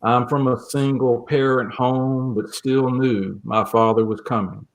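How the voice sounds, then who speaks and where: A man speaking as an interview guest, reflective and measured, with a gentle, earnest manner. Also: medium pitch, fairly even intonation, poor audio. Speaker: guest, creative writing teacher. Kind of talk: reflective interview talk and poem reading.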